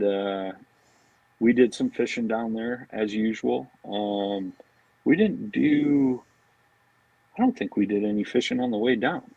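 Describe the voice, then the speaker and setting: Slow-talking man, low husky voice. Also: a little mellow, dry recording, muffled recording. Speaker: podcast guest, fishing brand marketing director. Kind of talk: casual chat.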